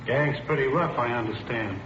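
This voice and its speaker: Guy acting cop office clerk, businesslike, roomy old radio sound w loud hiss. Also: medium pitch, normal speed, close to an everyday voice. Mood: matter-of-fact. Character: businesslike male police office clerk issuing weapons. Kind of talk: 1950s radio police drama acting. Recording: echoey, muffled, noisy old radio.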